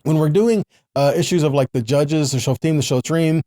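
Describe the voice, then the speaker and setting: A man's voice: fairly high pitch, normal speed, mellow, no room sound. A man giving a conversational Bible teaching, reading and explaining scripture in an explanatory way. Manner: explanatory, with short check-in questions to listeners. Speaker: religious teacher.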